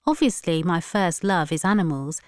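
A woman's voice: fairly low pitch, normal speed, clear, resonant tone, no room sound, studio quality, natural voice. A woman voicing an admiring young female animal activist in an earnest, matter-of-fact way.

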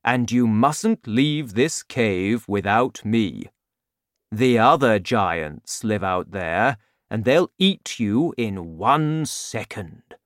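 A man voicing a huge, gentle giant in a storybook reading, speaking slowly and loudly. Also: lively intonation, no echo, clean studio sound. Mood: booming. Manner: slow, booming, deliberate.

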